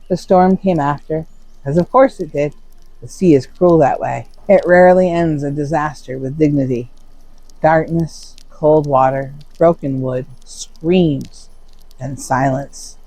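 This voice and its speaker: A storyteller narrates a Norse saga in a solemn, theatrical manner. The pitch sits in a middle register, and pauses are placed deliberately to build suspense. The recording is dry and close, with no room sound around the voice. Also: gravelly, mellow, no room sound, low-quality recording.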